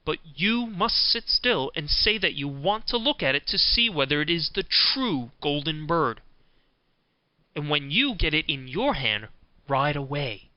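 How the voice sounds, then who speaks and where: A man voicing a wise, helpful storybook fox who gives measured, instructive counsel. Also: fairly high pitch, sing-song, no room sound, lo-fi sound. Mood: calmly instructing.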